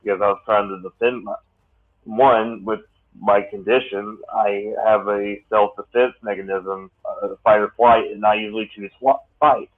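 A man in his late thirties talks in a rambling way, stopping and restarting often. His voice sits fairly low. The sound is thin and tinny, like a phone line. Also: steady tone. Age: late 30s. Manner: rambling, halting with many restarts. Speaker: podcast host. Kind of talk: casual podcast chat.

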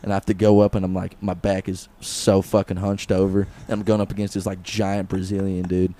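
A man's voice: low voice, monotone, brisk, warm tone, slight background noise. A young man co-hosting a podcast, rambling through a silly made-up story, swearing and laughing often. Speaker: podcast co-host. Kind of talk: casual comedic chat.

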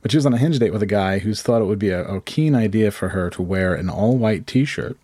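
A man with a low, hoarse voice tells a rambling, self-deprecating story in a relaxed, mellow tone. His voice is close and dry, with no room sound. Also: brisk, no echo. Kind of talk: casual comedic storytelling.

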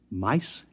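A man's voice: fairly low pitch, a little mellow, slightly rough, exaggerated voice. An actor playing a formal male military chief chairing a meeting in an old radio drama, speaking in an official manner. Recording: reverberant hall, dull old radio recording.

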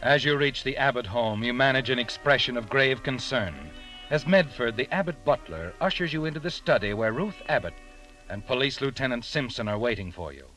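A man's voice: mid-range voice, expressive, fairly quick, sharp tone. A man playing an ominous, all-knowing narrator in a 1940s radio drama, taunting a killer directly. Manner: ominous, addressing the listener as the character. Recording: old radio recording with music.

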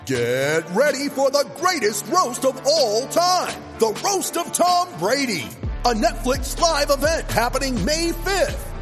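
A man's voice: very high voice, sing-song, slow, a bit bright, faint music behind. A man voicing a hyped streaming promo in a sing-song delivery. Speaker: ad announcer. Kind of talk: scripted promo voice-over.

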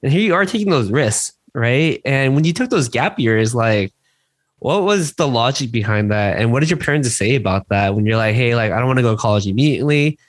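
Male podcast host, casual and chatty, mid-pitched, dry close sound. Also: fairly quick, dry recording. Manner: relaxed and chatty, lots of "like" and "man".

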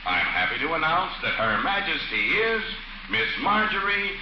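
Formal ball announcer, echoey, muffled and really noisy 40s radio. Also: male voice, a little high, big pitch swings, moderate pace. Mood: ceremonious. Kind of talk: 1940s radio acting, public announcement.

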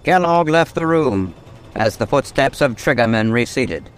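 An actor playing a dramatic narrator of a detective radio mystery, reading the scripted prose solemnly. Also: male voice, mid-range voice, expressive, measured pace, natural voice. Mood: grave.

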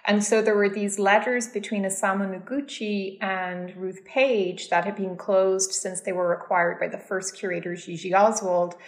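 A woman in a casual conversation, telling animated stories about her work as a dance archive curator. Her voice sits in a middle pitch, and the recording carries a roomy echo. She laughs easily and often restarts her sentences as she talks. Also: moderate pace, few pauses.

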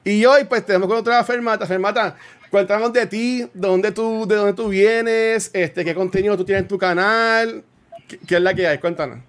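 A man's voice: sits high, fairly even intonation, moderate pace, clean tone. A man, hosting a casual gaming podcast, playful and teasing, laughing often. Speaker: podcast host.

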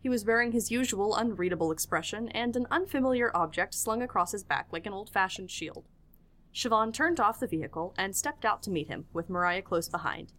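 A woman's voice: mid-range voice, expressive, brisk, a bit bright. A woman narrating a tabletop audio drama as game master, reading scripted lines with playful asides.

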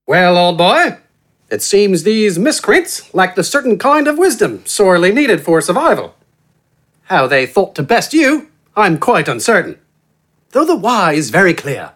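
A man voicing an eloquent, friendly, pompous gentleman doctor in an audiobook, with a relaxed and flowery flow of words. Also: high voice, unhurried, pitched above the performer's own voice, full character voice. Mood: suave.

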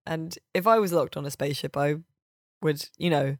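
A woman's voice: low-pitched, normal speed, a little mellow, no room sound, clean studio sound. A woman chatting casually and jokily about video games as a podcast co-host. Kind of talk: casual, jokey video game chat.

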